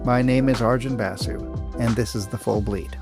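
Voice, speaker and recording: A man hosting a podcast, reading a measured, articulate intro and asking interview questions. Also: medium pitch. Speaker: podcast host. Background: music.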